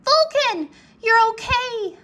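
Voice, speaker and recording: A woman's voice: extremely high pitch, lively intonation, takes it slowly, fairly bright tone, voice pushed up, exaggerated voice. A woman voicing a bold, fiery young girl dragon rider, calling out shrilly and urgently in a storybook. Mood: excited.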